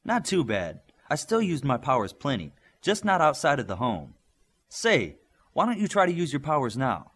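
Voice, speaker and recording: A man's voice: medium pitch, huge pitch swings, moderate pace, fairly bright tone, slightly rough, heavily acted. A man voicing a young speedster boy in a superhero audiobook, encouraging, matter-of-fact and explanatory. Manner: reassuring, explanatory.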